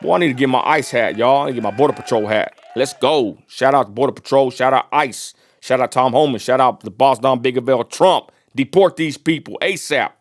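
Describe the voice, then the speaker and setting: A man's voice: medium pitch, a little fast, hardly any pauses. Blunt, profane West Texas man ranting. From Texas, USA. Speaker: livestream host. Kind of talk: casual ranting livestream commentary.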